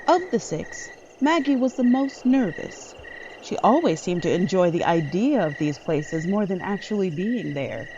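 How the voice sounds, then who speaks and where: A woman's voice: lowish voice, lively intonation, unhurried, darkish tone. A woman narrating a horror audio drama from a script. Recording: slightly dull audio, faint noise. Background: night crickets and frogs.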